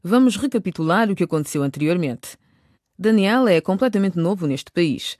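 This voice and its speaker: A man's voice: high voice, animated, normal speed, darkish tone, no echo, clean studio sound. A man reading scripted narration for a serial radio drama.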